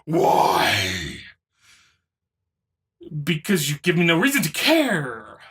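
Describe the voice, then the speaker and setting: Video commentator, sarcastic, blunt, dismissive, husky, animated, off-the-cuff. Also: mid-range voice, slow.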